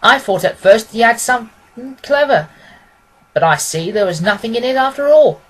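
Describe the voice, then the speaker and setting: A woman's voice: fairly high pitch, expressive, normal speed, voice pushed up, rough recording. A woman voicing a plain-spoken, earnest male pawnbroker who rambles a little, in a storybook reading. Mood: blunt.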